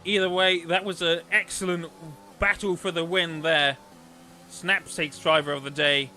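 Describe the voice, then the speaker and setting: A man's voice: a little high, fairly even intonation, a little slow, sharp tone. A man commentating a sim racing league on a live stream, chatty and rambling, losing his voice. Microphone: desktop microphone.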